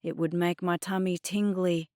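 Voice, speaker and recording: A woman's voice: lowish voice, little pitch movement, normal speed, warm tone, no room sound, clean studio sound, lightly acted. Lady doing smug young guy in an audiobook, cheeky teasing type, acts all innocent. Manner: cheeky, playful, mock-innocent. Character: smug, teasing, bargaining young male family friend. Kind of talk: dramatised audiobook dialogue.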